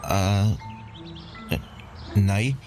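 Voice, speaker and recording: A man's voice: fairly low pitch, fairly even intonation, drawn-out words. A man playing a hurt teenage son, resentful and pleading, in a radio drama. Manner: pleading, resentful, polite to elders. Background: music.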